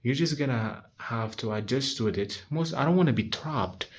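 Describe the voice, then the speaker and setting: A man's voice: mid-range voice, expressive, normal speed, slightly rough. A nineteen-year-old man talking frankly to his handheld phone in a casual vlog, swearing often and restarting his sentences. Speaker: vlogger.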